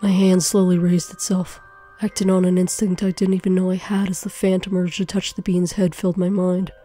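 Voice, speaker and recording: A woman narrating a suspenseful first-person story in a dramatic, deliberate way. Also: fairly low pitch, flat delivery, warm tone, faint music behind. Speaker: fan fiction narrator. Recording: faint background noise.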